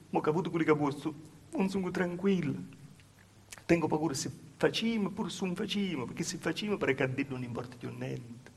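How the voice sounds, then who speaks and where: Man playing a naive Calabrian village woman, highish creaky voice. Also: heavily acted. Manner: halting, repetitive storytelling in short phrases. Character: poor young Calabrian village woman, naive, anguished, in love. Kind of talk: solo stage monologue in southern dialect. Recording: faintly noisy live theatre recording.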